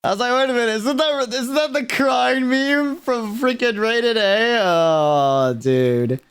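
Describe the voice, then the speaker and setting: A man's voice: extremely high pitch, slow, fairly bright tone, clear, resonant tone. A man giving casual, slangy livestream reaction commentary, thinking aloud as he goes. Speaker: reaction streamer.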